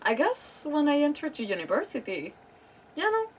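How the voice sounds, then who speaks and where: A woman chats casually on a game livestream. Her voice sits fairly high and sounds a little away from the microphone. She rambles and cuts into her own sentences as she goes. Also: huge pitch swings, moderate pace, a little mellow, distant mic. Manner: rambling, self-interrupting. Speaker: game streamer. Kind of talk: casual livestream chat and reactions over a game.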